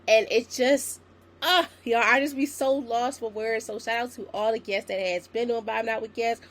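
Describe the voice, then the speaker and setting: A young woman in her twenties hosting a solo podcast, slightly raspy from a sinus infection, chatty and encouraging as she talks to her listeners. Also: fairly high pitch, fairly even intonation, moderate pace, fairly bright tone. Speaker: podcast host. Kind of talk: casual solo podcast talk.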